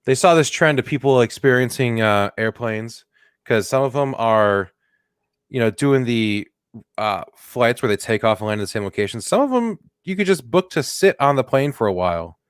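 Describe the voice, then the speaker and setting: A man's voice: medium pitch, animated, normal speed, clear, resonant tone, no room sound, clean studio sound. A man co-hosting a comedy podcast, joking and riffing in casual chat, now and then slipping into silly put-on voices. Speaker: podcast co-host.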